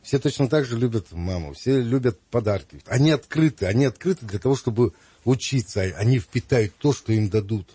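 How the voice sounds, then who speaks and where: A middle-aged man speaking earnestly and reflectively as a guest in a talk, pausing with hesitations. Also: medium pitch, expressive, mellow, husky, no echo. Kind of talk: earnest conversational opinion.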